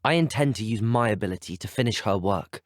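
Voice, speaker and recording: A man's voice: fairly low pitch, expressive, brisk, no room sound, studio quality, close to an everyday voice. A British man playing a polite, earnest, determined teenage student wizard in a video game, speaking articulate scripted dialogue. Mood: resolute. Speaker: video game player character.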